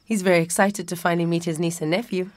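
Woman playing a low-voiced caring South African daughter. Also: little pitch movement, fast, a little mellow, lightly acted. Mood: gently coaxing. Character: caring, patient grown daughter and mother. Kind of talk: radio drama acting.

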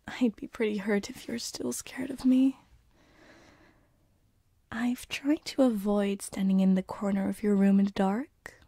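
A woman as a breathy, slow-talking demon girl. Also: mid-range voice, dry recording, studio quality, heavily acted. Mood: teasing. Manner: soft, hesitant, often whispering, teasing. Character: shy, affectionate female sleep paralysis demon, ex-succubus. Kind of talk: binaural ASMR roleplay.

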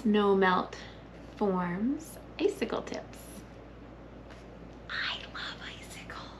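A woman in her thirties hosting a children's story time, reading and singing expressively with hushed, breathy asides. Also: mid-range voice, animated, extremely slow. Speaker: library story time host. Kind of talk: children's story time read-aloud and sing-along. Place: children's library room, indoors. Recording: noticeable background room noise.

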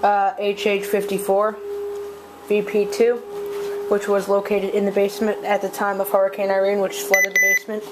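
Casual video host, halting delivery, coughy throat, medium pitch, noisy handheld camera audio indoors. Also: monotone. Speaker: video host showing a collection. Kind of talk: casual handheld product show-and-tell. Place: indoors at a desk in a home room. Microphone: handheld camera's built-in mic.

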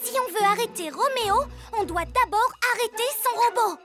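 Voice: very high-pitched, normal speed. A performer playing a feisty, determined six-year-old girl superhero in a dubbed children's cartoon. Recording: music behind the voice.

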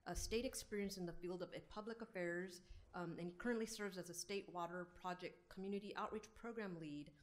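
A woman's voice: fairly low pitch, brisk. A woman hosting a semi-formal meeting from a podium microphone, introducing speakers and guiding the room. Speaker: program host introducing speakers. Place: government board chamber, indoors. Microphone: gooseneck podium microphone. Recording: faint room noise on podium mic.

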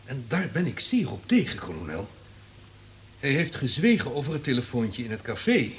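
Wary, calculating right-hand man, animated. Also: mid-range voice, normal speed, slight background noise. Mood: wary, insistent. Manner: deferential but insistent. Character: wary, calculating subordinate to a colonel. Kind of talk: radio play acting.

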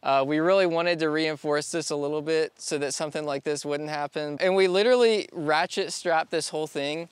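Easygoing young man, crisp close voice. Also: fairly high pitch, a little fast, few pauses, no echo. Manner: easygoing, laughs often. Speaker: DIY vlogger. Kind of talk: casual DIY vlog talk. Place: outdoors on a rural property. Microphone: lapel or on-camera mic.

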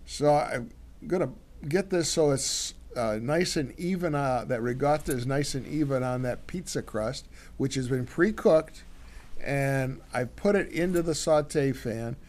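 An older man co-hosting a home cooking show, talking casually and haltingly as he demonstrates a recipe in his kitchen. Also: mid-range voice, a little mellow. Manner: rambling, halting with many fillers and restarts. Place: home living room, then kitchen.

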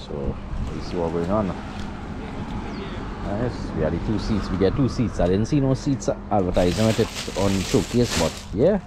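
Deep-voiced Trinidadian man, noisy outdoors. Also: lively intonation, normal speed. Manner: laid-back, addressing viewers as folks. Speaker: outdoors vlogger. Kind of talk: casual outdoor vlog commentary. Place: outdoors on the water in a kayak bay. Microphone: handheld action camera. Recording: noisy outdoor action-camera audio.

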